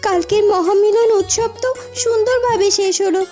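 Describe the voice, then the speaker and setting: Brief: woman as an earnest young cartoon princess, very high, gentle delivery. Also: normal speed, soft background music.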